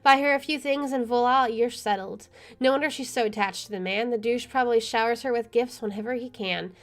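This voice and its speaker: An expressive woman playing a game, reading its narration aloud and adding casual, opinionated commentary. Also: a little high, fairly quick. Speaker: let's player. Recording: faint music underneath.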